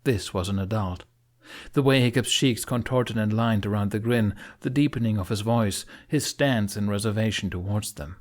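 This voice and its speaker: A man narrating an audiobook as dramatic, scripted storytelling. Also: medium pitch.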